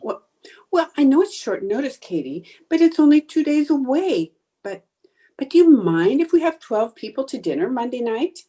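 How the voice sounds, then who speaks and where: A woman's voice: high voice, very animated, moderate pace, mellow, husky, heavily acted. A woman playing a sarcastic, theatrical housewife in an old-time radio sitcom, with exaggerated, wisecracking delivery. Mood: flustered. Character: sarcastic, theatrical young housewife with a quick temper.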